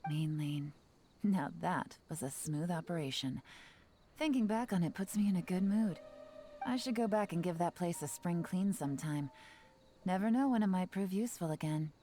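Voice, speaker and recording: A woman voicing a shrewd, playful young spymaster in a video game, teasing and self-assured, with a smooth delivery. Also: fairly low pitch, normal speed, dark tone, lightly acted. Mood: relaxed, musing. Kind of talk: scripted video game character dialogue. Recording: game music behind the voice.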